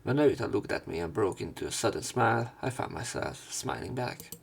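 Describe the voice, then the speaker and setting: A man narrates a visual novel for a let's play. His voice is fairly low with plenty of vocal fry, and he reads casually, with some halting. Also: animated. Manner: casual, halting read-aloud with asides. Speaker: let's player. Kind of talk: reading visual novel narration and dialogue aloud.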